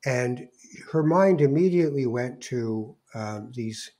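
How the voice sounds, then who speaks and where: A man's voice: medium pitch, animated, takes it slowly, warm tone. A man interviewing in a casual, conversational way.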